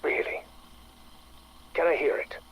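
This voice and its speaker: An actor playing an evasive, cynical scientist, dry and condescending, in a game audio drama. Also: male voice, measured pace, lightly acted, low-quality recording. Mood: evasive, wry.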